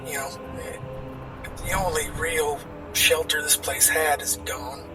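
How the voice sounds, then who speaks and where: A man playing a weary, battered, grimly pragmatic survivor in tabletop roleplay, speaking haltingly in a strained voice. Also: a little high, fairly even intonation, far from the mic, over loud music. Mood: resigned. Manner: halting, hesitant, repeating words.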